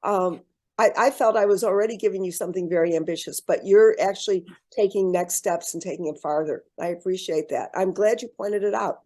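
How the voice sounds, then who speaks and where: A woman leads an online workshop over a video call. Her voice is warm and mellow. She speaks in a measured, encouraging way, pausing on ums and restarting phrases. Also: lowish voice. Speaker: program presenter.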